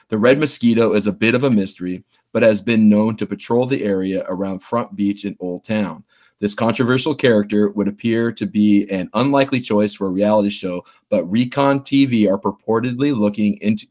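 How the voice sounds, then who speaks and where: A man reading scripted narration for an audio drama in a measured, tour-guide manner. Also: lowish voice, a little mellow.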